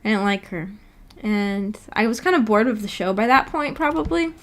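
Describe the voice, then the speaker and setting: A woman's voice: medium pitch, lively intonation, moderate pace. A young adult woman chatting casually on a livestream, rambling informally and reading viewer comments aloud as she goes. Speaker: VTuber livestreamer.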